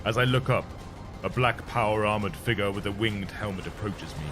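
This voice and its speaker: A man's voice: fairly low pitch, fairly quick, music in the background. A man giving a scripted, dramatic first-person narration as a grim, commanding warrior recounting a battle. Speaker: narrator of an audio story.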